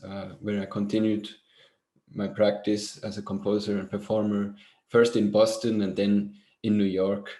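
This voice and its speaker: A man from Switzerland, a composer, answering interview questions over a video call from home in a measured way with many fillers. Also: on the low side, a little mellow, echoey room. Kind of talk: webinar interview. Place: grandmother's house.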